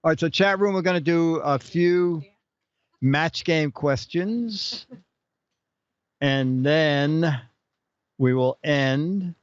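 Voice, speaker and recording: A chatty man hosts a casual live stream and banters with his guest as he reads off viewer questions. His voice sits a bit high for a man. The sound is dry and dull, like a low-fidelity stream. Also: takes it slowly, pauses often, clean tone, no echo. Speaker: show host.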